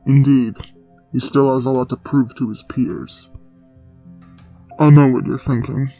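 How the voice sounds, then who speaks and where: A man plays a coolly commanding master of dark warriors in a comic dub drama. His voice is mellow and mid-pitched, his delivery measured and aloof with a dismissive edge. Faint music sits behind it. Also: steady tone, close to an everyday voice, low-quality recording. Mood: calm, guarded.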